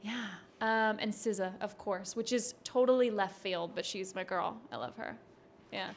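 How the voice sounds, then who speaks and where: Relaxed woman in her thirties, faint hiss. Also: mid-range voice, moderate pace. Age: 30s. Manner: relaxed, rambling, laughs easily. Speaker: touring bassist guest. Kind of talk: backstage interview chat. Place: backstage at a concert venue.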